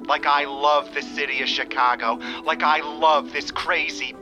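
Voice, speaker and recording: A man's voice: on the high side, steady tone, unhurried, close to an everyday voice. An actor playing a melodramatic, self-absorbed man in an audio comedy drama, overwrought and declamatory. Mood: grandiose, passionate. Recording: dull sound with music behind.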